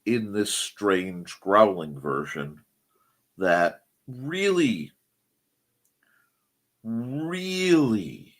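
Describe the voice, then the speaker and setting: Husky middle-aged man, slow and wandering. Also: fairly low pitch, fairly bright tone. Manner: rambling, searching for words, wry asides. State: recovering from losing his voice. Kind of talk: casual chat.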